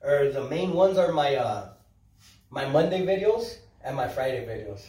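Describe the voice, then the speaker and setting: A man's voice: mid-range voice, moderate pace. Upbeat man in his thirties, roomy sound. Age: 30s. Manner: upbeat and animated, acts out quoted voices, drops in Spanish words. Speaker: podcast guest, social media influencer. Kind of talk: casual chat. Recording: roomy, mic at a distance.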